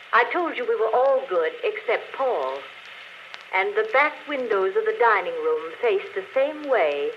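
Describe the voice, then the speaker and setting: An actress playing a dead woman who confesses a murder on a phonograph record, speaking slowly in 1940s radio style. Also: medium pitch, natural voice. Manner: calm, deliberate confession, later frightened. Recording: dull old radio broadcast with faint hiss.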